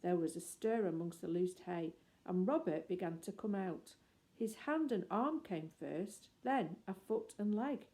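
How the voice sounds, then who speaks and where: A woman reading a children's story aloud as expressive scripted narration. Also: fairly low pitch, unhurried. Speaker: audiobook reader.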